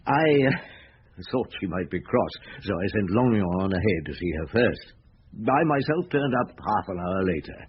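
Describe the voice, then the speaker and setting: Radio drama detective: patient, authoritative, dryly probing, lowish voice, slightly noisy muffled sound. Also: male voice, sing-song. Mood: reflective. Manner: measured, dry, probing questioning. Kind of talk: radio drama acting and narration.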